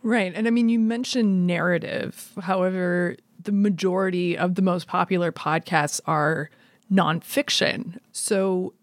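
A woman's voice: on the low side, steady tone, a little slow, no echo, studio quality. A woman hosting an interview in a conversational way, commenting and putting questions to her guest. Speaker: podcast interviewer, host.

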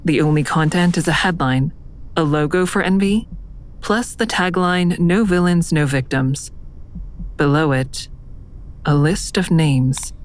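A woman's voice: sits low, a little slow, a little hiss or hum. An actress playing a haunted, wary woman investigator who narrates her own story in an audio drama, terse and confiding. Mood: uneasy.

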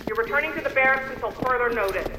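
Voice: high-pitched, rapid-fire, sharp tone, a little hiss or hum. An actor playing an army officer barking orders at trainees in an audio drama. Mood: stern. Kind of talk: shouted audio drama acting. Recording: echoey, distant from mic.

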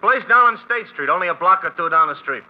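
An eager, gabby cabbie in an old radio mystery. His voice is very high for a man, excitable and colloquial, on a dull vintage recording. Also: fast talker. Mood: eager, chatty. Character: eager, gabby male cab driver and gambler. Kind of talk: 1950s radio drama acting.

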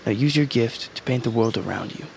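A man playing a serene, philosophical young blind brother in a fantasy audio drama, with lyrical, earnest, measured phrasing. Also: mid-range voice, gravelly, darkish tone, a little hiss or hum, natural voice. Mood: calm guidance.

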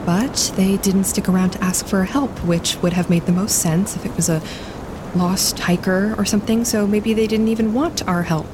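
An actress playing an uneasy woman on a wilderness expedition, speaking haltingly in naturalistic drama dialogue. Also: steady tone, lightly acted. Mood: worried. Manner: hesitant, halting. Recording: low rumbling background noise.

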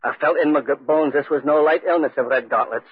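An actor playing a plain-spoken, cautious Scottish tenant who narrates an eerie tale in a 1940s radio drama, husky-voiced. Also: male voice, on the high side, fast, no room sound. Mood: storytelling. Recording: tinny, dry 1940s radio recording.

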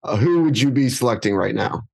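A middle-aged man co-hosting a sports podcast, his voice raspy from a hangover, eager and quick to push back with questions. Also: medium pitch, lively intonation. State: raspy, hungover. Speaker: podcast co-host. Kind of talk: casual sports chat.

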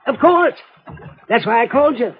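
A man's voice: very high-pitched, expressive, moderate pace. An actor playing a genial, scatterbrained, wealthy old eccentric in a 1950s radio drama, absent-minded and chuckling as he talks. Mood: cheerfully generous. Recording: muffled phone-like old radio recording.

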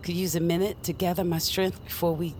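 An actress playing a steady, protective witch mentor in an audio drama, earnest and deliberate in manner. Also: fairly low pitch, close to an everyday voice. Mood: guiding, grave. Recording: noticeable ambient noise behind voice.

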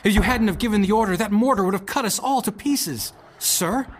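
An actor playing a loyal Roman soldier, a captain's devoted aide, earnest and dramatic in an audio drama. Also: male voice, high-pitched, fairly quick, close to an everyday voice. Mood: urgent, alarmed. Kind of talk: full-cast audio drama acting and narration. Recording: music and noise behind drama.

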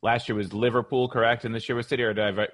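A man's voice: medium pitch, flat delivery, fast, a bit bright, no room sound, clean studio sound. A man hosting a conversational sports podcast, interviewing a guest. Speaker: podcast host.

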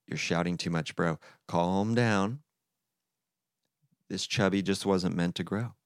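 A man's voice: low voice, takes it slowly, smooth, dry recording, clean studio sound. Man doing a bossy, exasperated storybook frog. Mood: scolding. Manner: scolding, coarse, emphatic. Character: bossy, blunt male frog, Toad's exasperated friend.

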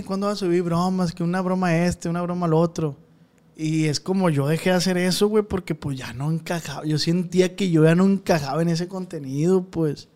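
Podcast host, late twenties, male, slangy and teasing, slightly higher pitch. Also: a little mellow. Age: 20s. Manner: slangy, joking, asks questions. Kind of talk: casual chat.

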